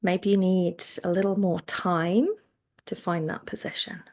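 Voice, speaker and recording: Slow-talking, creaky-voiced woman guiding relaxation. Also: lowish voice, level tone. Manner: soothing, gentle instruction with soft trailing phrase endings. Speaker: yoga nidra relaxation teacher. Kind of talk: guided relaxation meditation.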